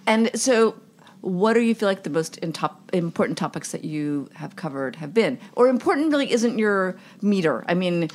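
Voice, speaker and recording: A woman's voice: lowish voice, expressive, normal speed, a little mellow. A woman hosting a podcast, asking her guests curious questions. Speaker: podcast host. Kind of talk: interview.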